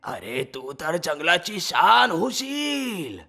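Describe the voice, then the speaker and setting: Sly fox guy, as a lady puts him on, slow drawling sweet talk, gravelly and breathy, storybook voice. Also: fairly low pitch, sing-song, fairly bright tone, voice pushed down, full character voice. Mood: coaxing. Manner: sweet-talking, coaxing. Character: sly scheming male fox minister. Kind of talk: storybook character voice.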